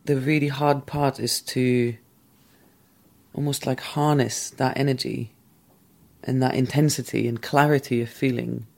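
A man calmly and candidly recounting a past relationship in a thoughtful, measured way. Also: mid-range voice, vocal fry. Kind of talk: reflective personal storytelling.

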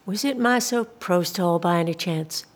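A woman's voice: fairly low pitch, moderate pace, natural voice. An actress playing a polite but persistent government social worker in an audio drama, soothing and officious as she questions someone. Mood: officious, pressing. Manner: politely insistent, bureaucratic, soothing reassurances. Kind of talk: scripted audio drama dialogue.

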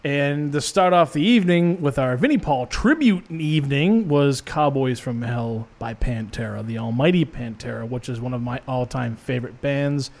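A man's voice: mid-range voice, moderate pace, creaky, hardly any pauses, a little mellow. A man hosting a hard rock radio show, chatting casually with rambling jokes and frequent laughs in a studio. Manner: rambling, joking, laughs often. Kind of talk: casual on-air banter.

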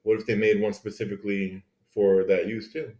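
Roomy echo surrounds a man with a fairly low voice. He banters casually as a podcast co-host, crude and teasing, prodding with question after question. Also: flat delivery, fairly quick, a little mellow, dull audio. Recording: echoey room sound.

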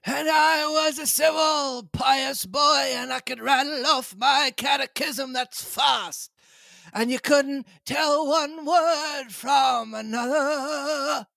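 Woman doing a half-crazed old castaway pirate, high shouting voice, slow drawl, sailor's dialect, dry close sound. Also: vocal fry, dry recording, heavily acted. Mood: excited. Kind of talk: storybook read-aloud.

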